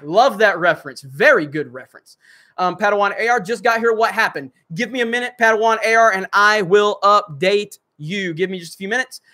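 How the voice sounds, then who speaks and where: A man's voice: sits high, brisk, pushed and bright. A man hosting a casual livestream, rambling with many fillers as he reads viewer comments and answers them. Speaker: livestream host. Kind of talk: casual livestream chat reading super chats.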